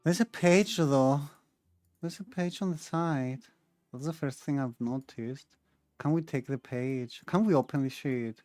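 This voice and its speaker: A woman giving low-key, casual commentary while she plays a game. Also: unhurried, warm tone, dry recording. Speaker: streamer playing a game.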